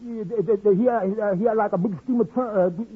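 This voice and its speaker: A very high, rough-edged voice, a flustered barber who trips over his words and keeps correcting himself. It is vintage radio comedy, with a narrow, tinny sound. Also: a little fast. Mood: muddled. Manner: stuttering, self-correcting, scatterbrained. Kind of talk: 1950s radio comedy acting.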